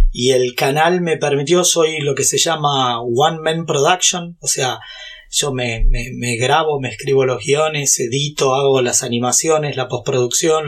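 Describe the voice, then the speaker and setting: A man in his fifties with an Argentine Spanish accent, Portuguese-speaking from childhood, telling his story at length in a relaxed interview, with many fillers and restarts. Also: fairly high pitch. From Brazil. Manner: talkative, frequent fillers and restarts. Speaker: guest, YouTuber and entrepreneur. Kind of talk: casual interview.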